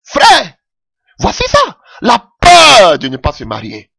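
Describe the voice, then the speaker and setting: A man's voice: huge pitch swings, slow pace, gravelly, pushed and bright, slightly rough, dry recording, clean studio sound. A man preaching a sermon with passion, calling out alleluia often as his voice rises. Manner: impassioned, rising pitch with frequent alleluias.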